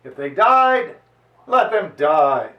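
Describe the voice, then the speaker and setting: High-pitched declamatory man in an echoey room. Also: sing-song, muffled recording. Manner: emphatic, declamatory, with drawn-out deliberate phrases. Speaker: reader and lecturer on history. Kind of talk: dramatized reading of a historical chronicle to listeners. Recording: roomy echo, voice distant from microphone.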